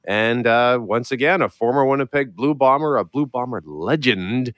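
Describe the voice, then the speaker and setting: A radio host, talking softly from his apartment while getting over a mild cold. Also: normal speed, no room sound, clean studio sound. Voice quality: deep. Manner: quiet, low-key, speaking softly. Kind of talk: morning radio talk. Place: his apartment, working from home.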